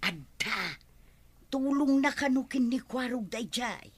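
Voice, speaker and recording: A woman's voice: on the high side, animated, takes it slowly, breathy edge, full character voice. An actress with an Ilocano accent playing a supportive village woman in a comedy drama.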